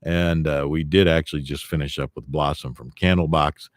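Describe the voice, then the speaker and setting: A man hosting a morning internet radio show, chatting in a relaxed, reflective way about a self-help book before playing songs. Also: rumbling low, moderate pace, no room sound, studio quality. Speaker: radio host.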